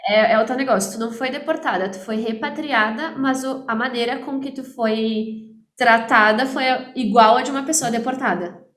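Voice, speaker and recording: A woman's voice: a little high, normal speed, a bit bright, reverberant. A woman, hosting a casual chat and following up with questions and summaries. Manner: engaged interviewer, adds follow-up questions and summaries. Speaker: host, teacher.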